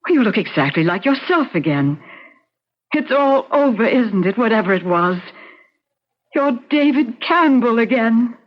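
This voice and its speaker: A woman's voice: on the high side, big pitch swings, measured pace. An actress playing an anxious, devoted woman in a radio drama, coaxing and solicitous. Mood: anxious relief. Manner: solicitous, coaxing, seeking reassurance. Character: anxious, devoted, fussing woman caring for a sick man. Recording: muffled old radio drama recording.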